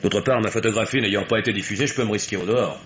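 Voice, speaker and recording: An actor playing a shrewd, self-assured investigator in an old French radio mystery, with a measured, probing, faintly ironic delivery. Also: male voice, on the low side, a bit bright, close to an everyday voice. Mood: methodical, assured. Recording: dull, hissy old radio recording.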